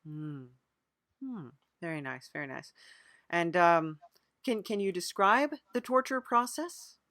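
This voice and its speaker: A woman's voice: fairly low pitch, slow, fairly bright tone, no echo, studio quality. A woman hosting an improvised mock interview, agreeable and a little hesitant, playing it straight in casual conversation. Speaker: podcast interviewer.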